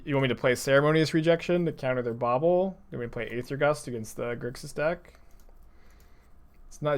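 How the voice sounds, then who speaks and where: Young texan guy streaming a card game, dry sarcastic, kinda gravelly, talks to chat while he plays. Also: mid-range voice. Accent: urban Texan, mild. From Texas, USA. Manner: dry, sarcastic, thinking aloud with frequent restarts. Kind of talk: casual commentary over gameplay, chatting with viewers.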